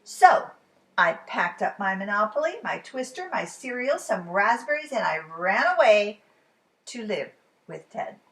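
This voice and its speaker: A woman reading a children's story aloud as storytime reader, expressive and dramatic in delivery. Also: mid-range voice, low-quality recording. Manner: expressive, dramatic pauses, drops to whispers. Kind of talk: animated children's story narration.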